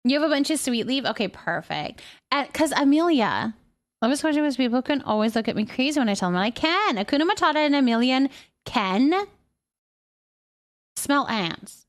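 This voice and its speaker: A woman with a fairly high voice talks casually on a livestream. She is chatty, moving between comments she reads aloud and her own musings on them, in an unscripted, conversational way. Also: very animated, normal speed. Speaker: live streamer reading viewer comments.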